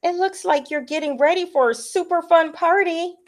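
A woman's voice: extremely high pitch, normal speed, a little hiss or hum, pitched above the performer's own voice. A woman voicing a young boy llama in a children's storybook, eager and easily hurt, with a childlike, wondering manner. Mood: excited.

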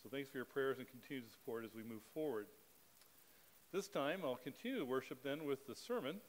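A middle-aged man leading a spoken liturgy as a pastor, reading in a measured, steady cadence at a church pulpit microphone. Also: mid-range voice, slight background noise, rough recording.

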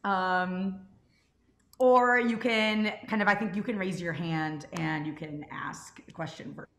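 A woman's voice: fairly low pitch, fairly even intonation, measured pace, a bit bright. A young adult woman informally hosting a question session as host and writer over a video call. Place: indoors on a group video call. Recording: roomy echo on video call.

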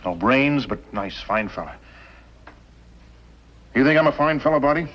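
An actor playing a bitter, cunning outlaw mastermind in a 1950s television western. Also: male voice, medium pitch, lively intonation, normal speed, lightly acted. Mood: bitter, brooding. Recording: muffled, noisy old television soundtrack.

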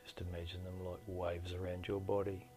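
A middle-aged man leading a guided meditation in a slow, deliberate, soothing delivery with long pauses. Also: sits low, completely flat delivery. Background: soft meditation music.

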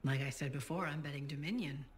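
A woman's voice: low-pitched, fast, a little hiss or hum, lightly acted. A woman voicing a cool, businesslike spymaster in a video game, composed and matter-of-fact. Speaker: intelligence agent handler.

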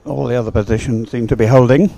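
Male sports commentator, medium pitch, live race call, short halting phrases, unhurried delivery. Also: fairly even intonation, gravelly, warm tone, no room sound, poor audio.

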